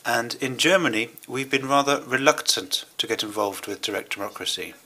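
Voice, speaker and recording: Bright-voiced male conference interpreter. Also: medium pitch. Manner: measured, hesitant phrasing with pauses. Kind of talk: simultaneous interpretation of a speech.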